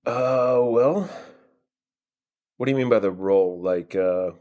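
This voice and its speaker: An American man answering interview questions casually, in a halting way as he thinks aloud. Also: mid-range voice, a little mellow. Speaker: guest playwright and filmmaker.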